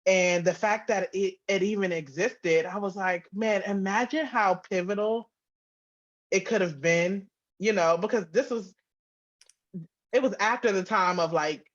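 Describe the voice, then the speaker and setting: A woman's voice: medium pitch, measured pace. A woman chatting casually as an interview guest, on slightly dull, lo-fi audio. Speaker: guest, audio drama writer and producer.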